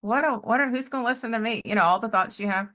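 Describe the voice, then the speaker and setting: Woman, middle-aged, bright voice, chatty, animated storyteller, casual podcast talk. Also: a little high, fast. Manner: chatty and animated, often acting out reported dialogue. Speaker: podcast host and life coach. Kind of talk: casual conversational storytelling with faith testimony.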